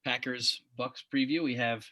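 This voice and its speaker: Bright-voiced Long Island man hosting. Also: mid-range voice, moderate pace, dry recording. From Long Island, New York. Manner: relaxed, friendly, laughs often. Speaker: podcast host. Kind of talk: casual sports podcast chat.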